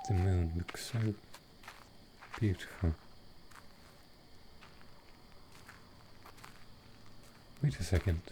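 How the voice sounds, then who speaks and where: A man voicing a gentle, courtly vampire lord in a roleplay audio drama, speaking softly and formally with halting care. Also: deep voice, background noise. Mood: quiet, musing. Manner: soft, slow, formal, halting. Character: gentle old-world male vampire lord, protective and courtly.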